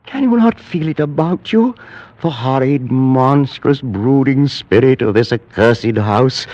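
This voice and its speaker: An actor playing the tormented, half-mad last heir of an accursed house, with a feverish, theatrical, brooding delivery in classic radio drama style. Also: male voice, fairly high pitch, a little mellow. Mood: bitter dread.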